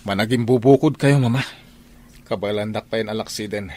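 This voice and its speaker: A man voices a young son in an Ilocano radio play who stands up to his mother. His voice sits low and stays firm and earnest. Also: a little fast, darkish tone, lightly acted. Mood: defiant. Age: young adult. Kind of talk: radio drama acting.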